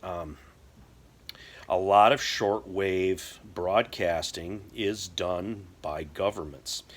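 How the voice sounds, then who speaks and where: A middle-aged American man explaining things casually to camera in a halting, folksy way. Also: sits low, breathy edge. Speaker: prepper video host. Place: indoor workshop or garage.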